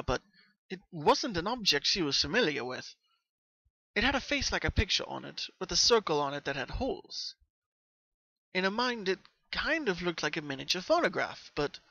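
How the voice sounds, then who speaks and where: A man narrating a story as a dramatic reading, with expressive, suspenseful delivery. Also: fairly high pitch, normal speed, gravelly, no room sound, dull audio. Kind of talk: dramatic fan fiction reading.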